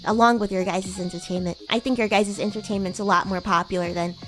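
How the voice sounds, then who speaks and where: A woman's voice: mid-range voice, fairly even intonation, fast talker, a bit bright, soft background music, voice pushed down, close to an everyday voice. A woman voicing a bratty, sweet young demon girl in casual roleplay chat, with hesitant, girlish delivery. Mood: chatty. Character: bratty, sweet young female demon classmate from another world. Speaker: VTuber streamer.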